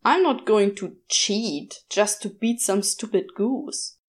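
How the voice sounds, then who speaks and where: A woman's voice: medium pitch, expressive, slow. A woman voicing a wily, easily vexed male demon who mutters, grumbles and snarls. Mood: grumbling.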